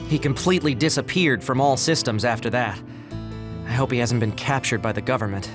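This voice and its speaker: An actor playing a practical young male ally in an anime game, matter-of-fact and brisk. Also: medium pitch, music in the background, close to an everyday voice. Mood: concerned. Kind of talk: anime game cutscene dialogue.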